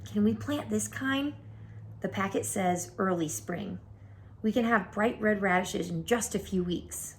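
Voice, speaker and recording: A woman's voice: mid-range voice, measured pace, lightly acted. A mischievous young schoolgirl, played by a woman, eager and playful in a children's storybook. She talks in exclamations, with faint noise behind her.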